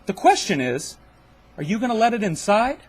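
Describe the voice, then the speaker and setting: Husky, theatrical speaker with swooping pitch. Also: sing-song, normal speed.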